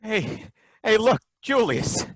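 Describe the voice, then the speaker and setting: Dreamy drawling inspector, highish voice swinging around, dry mic. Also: male voice, very animated, slow, no room sound, exaggerated voice. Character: dreamy doomed male inspector, eerily calm. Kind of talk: old-time radio drama acting.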